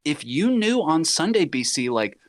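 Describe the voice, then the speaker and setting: A man's voice: on the high side, very animated, moderate pace, no echo. A man bantering casually about films on a podcast, riffing with mock quotes. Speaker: podcast guest.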